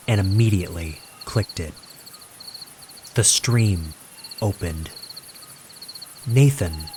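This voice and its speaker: A man narrating a scripted horror story in a suspenseful, deliberate manner with dramatic pauses. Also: low voice, darkish tone, slight background noise.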